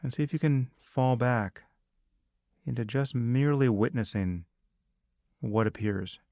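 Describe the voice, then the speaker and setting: A man leading a guided meditation, giving calm, measured instructions with long pauses. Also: medium pitch, creaky, no echo, studio quality.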